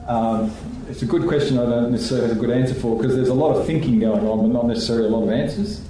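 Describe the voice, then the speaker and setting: A man, a regulator answering questions on a conference panel in a measured way, thinking aloud with restarts. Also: mid-range voice, mellow, a little hiss or hum. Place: conference hall. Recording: echoey hall, voice from far off.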